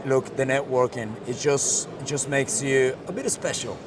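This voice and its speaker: A man's voice: mid-range voice, normal speed. A man with a Colombian accent, a Spanish speaker, telling his career story in a casual interview, with many fillers and restarts. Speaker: interview guest, marketing director. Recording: street noise behind.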